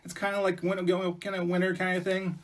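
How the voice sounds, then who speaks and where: A man's voice: sits high, monotone, normal speed, fairly bright tone, far from the mic, pitched above the performer's own voice. A man voicing friendly small animals in a folk tale, asking for help in an eager, casual, rambling way.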